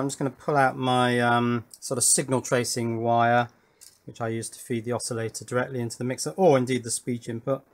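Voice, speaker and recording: Man thinking aloud at a workbench. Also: medium pitch. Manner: thinking aloud, asking himself tag questions. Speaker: electronics hobbyist presenter. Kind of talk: casual workbench narration to viewers.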